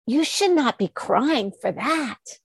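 A woman's voice: high-pitched, lively intonation, slow, breathy edge, no echo, clean studio sound, pitched above the performer's own voice, full character voice. Woman voicing an earnest little rabbit. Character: curious kind little male rabbit.

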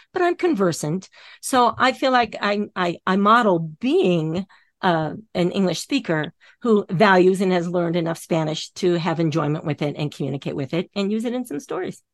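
An older woman from the American Midwest who tells stories, narrating softly and slowing down for effect, then chatting in an interview. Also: mid-range voice, dry recording, clean studio sound. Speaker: storyteller. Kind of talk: storytelling and interview chat.

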